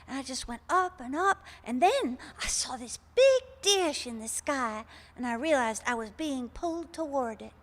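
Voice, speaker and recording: An actress plays a sweet, unfazed older woman phoning a radio show in a comic stage play. Her voice sits high and she rambles in a homespun, gossipy way. The sound rings with the reverb of a hall. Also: expressive, moderate pace, few pauses, fairly bright tone. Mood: delighted, wondering. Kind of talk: comic live radio theater acting.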